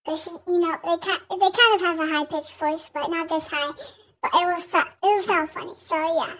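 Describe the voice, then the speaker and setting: A casual, rambling video creator speaking through a high, squeaky helium-style voice changer. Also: fairly even intonation, moderate pace, sharp tone. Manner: informal, rambling. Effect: high-pitched helium-style voice changer. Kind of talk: casual vlog demonstrating a voice changer.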